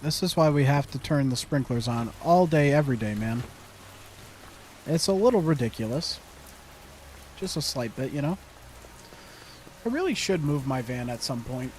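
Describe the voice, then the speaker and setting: A man's voice: mid-range voice, expressive, normal speed, pauses often, a little mellow, a little hiss or hum. A young man giving casual gameplay commentary, muttering to himself, humming and repeating phrases. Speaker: gaming streamer.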